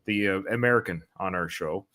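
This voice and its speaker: A man's voice: deep voice, brisk, sharp tone. Tired Canadian man, rambling. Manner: rambling, loses his thread, laughs at himself. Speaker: podcast host. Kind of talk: casual podcast chat.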